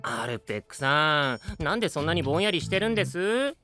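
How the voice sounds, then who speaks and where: Smug young tease, polite wording, needling edge, animated intonation, anime drama, music underneath. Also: male voice, a little high, brisk, exaggerated voice. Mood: teasing. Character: teasing, self-assured, politely needling young man. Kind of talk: Japanese anime voice drama acting. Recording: music behind the voice.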